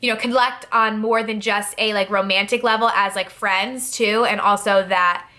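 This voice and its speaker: Chatty bright-voiced young woman vlogger. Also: mid-range voice, steady tone, normal speed. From New Jersey, USA. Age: 20s. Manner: chatty, rambling, many fillers and "like". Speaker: beauty vlogger. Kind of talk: casual Q&A talk to camera.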